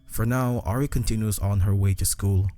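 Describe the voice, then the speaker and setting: A man's voice: lowish voice, fairly even intonation, normal speed, warm tone. A man reading a scripted horror story narration for a video. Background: background music.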